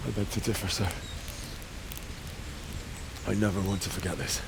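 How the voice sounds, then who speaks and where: A man's voice: on the low side, fairly even intonation, moderate pace, creaky, background noise. A young actor playing an earnest, vengeful young man in a video game cutscene, speaking intensely. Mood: determined.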